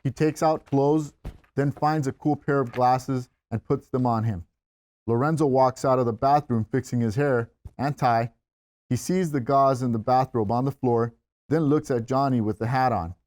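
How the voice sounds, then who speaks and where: A man's voice: medium pitch, steady tone, normal speed, a little mellow, no echo. A man reading scripted stage directions as the narrator at a table read.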